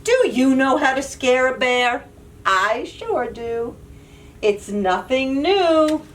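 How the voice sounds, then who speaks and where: A woman reading picture books aloud to young children, with an animated, playful, questioning delivery. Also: sits high, slow pace. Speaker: children's librarian and storyteller. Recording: distant mic, audible background noise.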